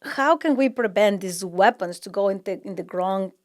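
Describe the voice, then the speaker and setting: A woman from Mexico speaks with a thick Mexican accent, her first language plainly Spanish. She talks in a relaxed, chatty way as a show guest and laughs easily. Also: mid-range voice, moderate pace. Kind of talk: casual interview chat.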